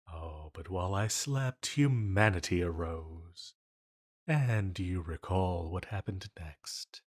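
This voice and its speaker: A man playing an ancient banished villain, elegant and menacing yet fond, in a scripted intimate audio roleplay with formal, theatrical phrasing. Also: deep voice. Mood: weary, musing. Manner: slow, deliberate, theatrical, formal phrasing with pauses.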